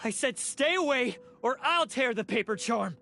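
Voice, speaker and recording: Game role: bitter, honour-bound oni man, higher-set voice, animated dramatic phrasing, music underneath. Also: normal speed, gravelly, heavily acted. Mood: threatening. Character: proud, guilt-ridden blue oni man, grimly self-sacrificing.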